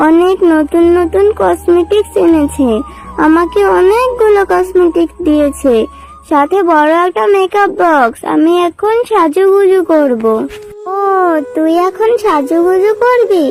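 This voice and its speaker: Very high-pitched earnest little girl. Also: exaggerated voice, rough recording. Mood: wistful. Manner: childlike, plaintive, earnest. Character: earnest little girl jackal in a children's cartoon. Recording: music behind the voice.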